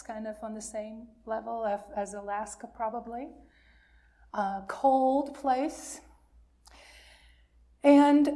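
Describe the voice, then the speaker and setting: Slow, high-voiced woman minister telling a story. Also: warm tone. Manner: questioning, pausing, inviting listeners to answer. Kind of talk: storytelling message to a congregation for all ages.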